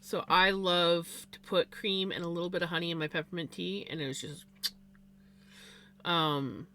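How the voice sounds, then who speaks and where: Chatty streamer with a bright, forceful voice, rambling on live with a faint hum underneath. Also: medium pitch, fairly even intonation, normal speed. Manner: rambling and chatty, many fillers and restarts. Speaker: livestreamer. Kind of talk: casual chat on a live stream.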